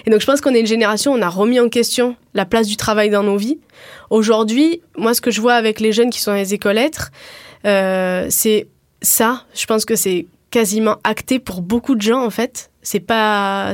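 A woman's voice: mid-range voice, no echo, studio quality. A woman in her thirties, a guest coordinator in a casual radio interview, thinking aloud with many restarts and fillers. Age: 30s. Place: radio studio.

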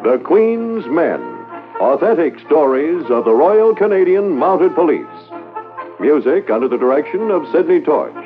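A man reading the closing credits of a 1950s radio show in a measured, formal way. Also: on the high side, sing-song. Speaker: announcer. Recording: tinny old broadcast over music.

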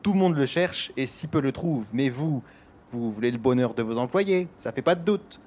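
An actor playing a bossy, blustering government minister in a satirical audio drama, talking in pompous slang. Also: male voice, mid-range voice, expressive, moderate pace, a little mellow, a little hiss or hum, close to an everyday voice. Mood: smooth-talking, pompous. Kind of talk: French satirical audio fiction acting.

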